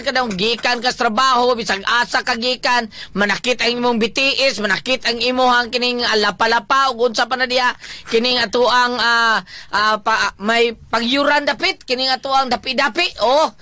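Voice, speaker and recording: Bright, emphatic Cebuano radio host. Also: mid-range voice, fairly even intonation, normal speed, no echo. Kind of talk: lively greetings and ad-libbed product endorsement.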